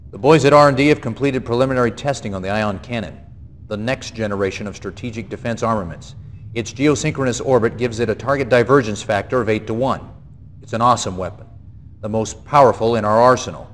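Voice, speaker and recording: An actor playing a stern, earnest military general, giving a grave, emphatic mission briefing in a video game. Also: male voice, mid-range voice, brisk, slight background noise, close to an everyday voice. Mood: grave, resolute. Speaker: military commanding officer.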